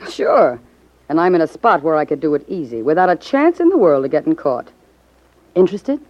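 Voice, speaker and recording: A woman's voice: sits low, big pitch swings, brisk. An actress playing a tough, scheming, resentful gangland woman, hard-boiled and slangy, in a 1950s radio drama. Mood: coaxing. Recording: muffled 1950s radio recording.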